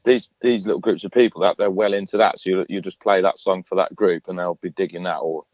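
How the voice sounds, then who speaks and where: A singer-songwriter guest in a radio interview, talking in a relaxed, chatty way with lots of fillers and restarts in his low voice. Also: quick pace, dry recording, dull audio. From England.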